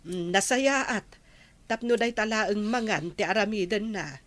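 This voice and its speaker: A woman's voice: medium pitch, a little slow, a bit bright, lightly acted. An actress with an Ilocano accent playing an inquisitive village woman in a comedy drama. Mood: curious.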